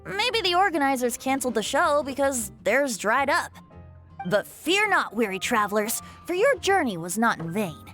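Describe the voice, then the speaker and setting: A woman's voice: high-pitched, big pitch swings, moderate pace, a bit bright. A woman playing a playful, self-assured young male bard in an anime game, flowery and theatrical, with music behind. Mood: grand, playful. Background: background music.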